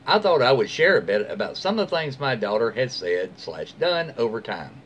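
A man's voice: mid-range voice, sing-song, moderate pace, fairly bright tone, husky, muffled recording. A middle-aged man reads written stories aloud in an informal way, dropping in casual asides and voicing dialogue in his own voice. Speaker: story-reading host. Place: small room at home, seated in an armchair.

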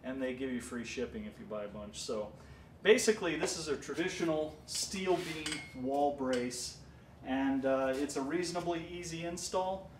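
A man's voice: mid-range voice, moderate pace, echoey room, distant mic, a little hiss or hum. A man casually walking through how he repaired his basement walls, explaining plainly and restarting his sentences as he goes. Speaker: homeowner doing a DIY repair.

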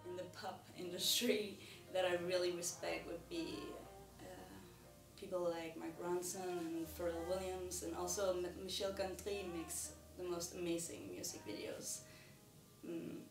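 Danish woman, soft talk in a roomy echo. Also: lowish voice, little pitch movement, recorded from a distance, music in the background. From Denmark. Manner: soft-spoken, many pauses and ums. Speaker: singer-songwriter. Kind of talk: talking to camera about her music. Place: indoors in front of a plain wall.

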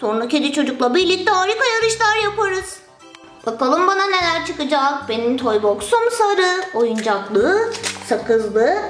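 A woman presents toy play for children in a very high, childlike pitch. She exclaims in a playful sing-song way. The sound is roomy and echoing, with music underneath.

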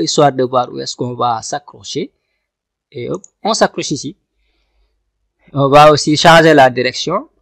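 A man giving a screen-recorded software tutorial, talking through each click step by step and often repeating himself. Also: mid-range voice, creaky, no room sound. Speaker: software instructor. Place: at a computer, voice over screen capture.

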